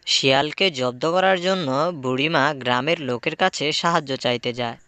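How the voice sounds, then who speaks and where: A man's voice: medium pitch, moderate pace, sharp tone, smooth, no room sound. A man reading scripted folktale narration for a cartoon.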